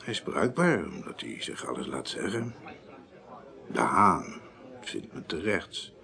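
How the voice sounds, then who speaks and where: An actor playing an embittered, washed-up politician and ex-naval officer near breakdown in a radio crime drama, speaking haltingly and with grievance. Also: male voice, low-pitched, big pitch swings, vocal fry, pushed and bright, heavily acted. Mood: resigned, bitter. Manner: hesitant, halting, aggrieved. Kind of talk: 1980s Dutch radio play acting.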